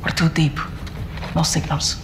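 An actress playing a resolute guerrilla commander in a military game, terse and commanding, with a Middle Eastern accent. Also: female voice, sits low, little pitch movement, unhurried, noisy background. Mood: grave. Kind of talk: dramatic game cutscene acting. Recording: loud cinematic score under dialogue.

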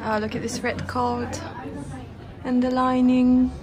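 A woman's voice: sits high, flat delivery, slow, darkish tone. A young woman chatting casually and enthusiastically to camera as she vlogs a shopping trip. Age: young adult. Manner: enthusiastic, gushing. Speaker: fashion vlogger. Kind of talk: casual shopping vlog chat. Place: inside a large department store and boutiques. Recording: busy store background, music playing.

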